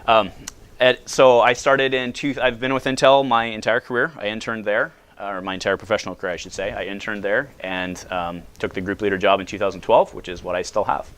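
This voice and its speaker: A man in his thirties from the United States, giving a loud, casual talk to high school students and asking them questions. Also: fairly even intonation, fairly quick. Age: 30s. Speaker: guest speaker.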